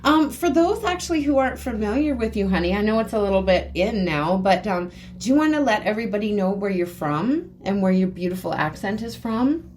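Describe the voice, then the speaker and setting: A woman hosting a podcast, talking in a relaxed, agreeable way in casual conversation. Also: mid-range voice, brisk, hardly any pauses, slight background noise. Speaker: podcast host.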